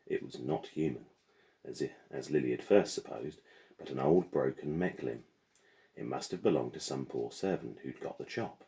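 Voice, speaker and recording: Man, very low voice, creaky, mellow tone, expressive storybook narration. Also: moderate pace. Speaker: teacher reading aloud to pupils.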